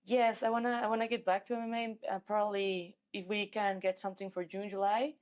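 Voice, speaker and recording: A woman's voice: medium pitch, little pitch movement, brisk, a little mellow. A woman from Mexico with a Mexican accent, talking casually as an athlete being interviewed. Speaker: guest, professional MMA fighter.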